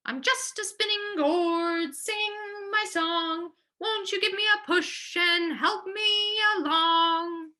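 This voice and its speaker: A woman voicing a plucky, crafty old grandma in a storybook, with a thin, high, sing-song voice. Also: slow, hardly any pauses, pushed and bright, exaggerated voice. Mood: chanting, coaxing.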